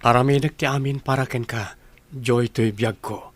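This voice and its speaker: A man's voice: mid-range voice, steady tone, normal speed, dry recording, natural voice. A man acting a devoted, tender young suitor in an Ilocano radio drama. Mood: tender, romantic.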